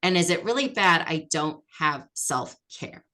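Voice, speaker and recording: A woman hosts a relaxed chat in a bright, clear voice that sits a touch low. She talks in a friendly, chatty way and leans on fillers as she asks her guest questions. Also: a little slow. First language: not French. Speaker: host. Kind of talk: casual interview chat.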